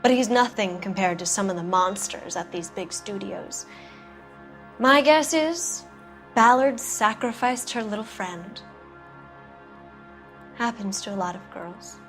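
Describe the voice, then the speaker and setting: An actress playing a jaded, evasive Hollywood producer's wife, cool, knowing and challenging, in a 1940s noir game. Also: female voice, mid-range voice, expressive, a little slow, pauses often, fairly bright tone, faint music behind. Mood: bitter.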